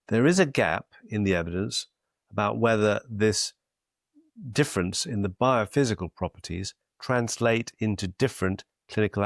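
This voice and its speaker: A man giving a measured, methodical educational lecture on vein treatment devices. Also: fairly low pitch, vocal fry, no echo, studio quality.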